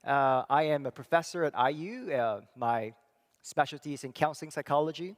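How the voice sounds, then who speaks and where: A middle-aged man from Singapore giving a church talk, thoughtful and halting, with many fillers and restarts. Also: on the high side. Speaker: church speaker and psychology professor. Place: church hall. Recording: long reverb of a hall.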